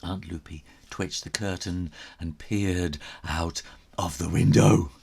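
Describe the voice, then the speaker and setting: A man reading a children's story aloud as its author, theatrically, with dramatic pauses and whispery emphasis. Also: sits low, steady tone, vocal fry, darkish tone, breathy edge.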